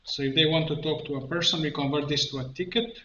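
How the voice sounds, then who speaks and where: A man presenting an improvised software tutorial, thinking aloud haltingly as he works at a computer. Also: a little high, fairly even intonation. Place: indoor room at a computer. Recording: dull, echoey room, clear background noise. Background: keyboard typing and mouse clicks.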